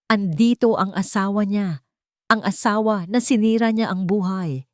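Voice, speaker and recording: A woman voicing a drunk, bitter estranged husband who sneers and shouts threats. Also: medium pitch, normal speed, dry recording, studio quality, pitched above the performer's own voice, lightly acted.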